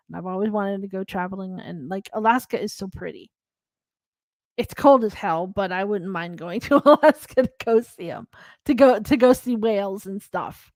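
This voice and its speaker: A young woman from the Philippines talks in an easygoing, rambling way, leaning on fillers and trailing off mid-thought. Her voice sits at an ordinary pitch, recorded close and dry with no room sound. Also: a little mellow, no room sound. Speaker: podcast co-host. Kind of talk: casual chat.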